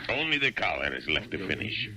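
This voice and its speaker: A man's voice: sing-song, fairly quick, sharp tone, exaggerated voice. An actor playing a crafty, brandy-loving tailor in a 1970s radio drama, savouring his words like a salesman. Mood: sly, pleased. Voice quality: deep gravelly voice. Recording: noisy old radio broadcast.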